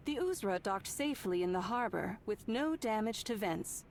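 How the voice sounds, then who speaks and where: A woman's voice: mid-range voice, lively intonation, normal speed, slight background noise, natural voice. A woman playing a composed storyteller, reading scripted narration for a video game. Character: composed female storyteller recapping an adventure.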